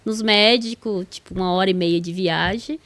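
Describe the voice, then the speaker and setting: A woman's voice: mid-range voice, moderate pace, a bit bright, dry recording. Shy woman guest. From Bahia, Brazil. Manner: shy, laughing easily. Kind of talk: casual testimony interview.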